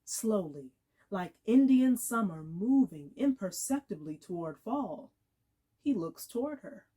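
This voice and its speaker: A woman giving a dramatic literary reading, measured and expressive, with deliberate pauses. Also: mid-range voice, breathy edge. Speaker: actor reading aloud.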